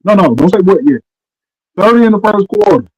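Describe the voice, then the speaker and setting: Forties man, co-host, relaxed rambling tales, a bit high-pitched, dry mic. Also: fairly quick, breathy edge, no room sound, poor audio. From Arkansas. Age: 40s. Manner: laid-back storytelling, trails off with "you know what I'm saying". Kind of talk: casual sports chat.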